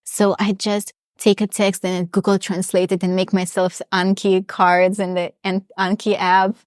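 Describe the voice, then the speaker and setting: A woman's voice: mid-range voice, little pitch movement, unhurried, dry recording, studio quality. A young woman from Russia, chatting reflectively as an interview guest. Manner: reflective, chatty, many restarts and small laughs. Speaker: guest, polyglot. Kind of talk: casual interview chat.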